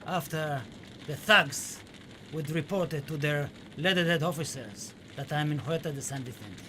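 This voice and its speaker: Behind clear background noise comes a man playing a haunted Spanish poet in a radio play. His voice sits a little high. He delivers his monologue with deliberate, dramatic, poetic phrasing. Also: sharp tone. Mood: fearful, urgent.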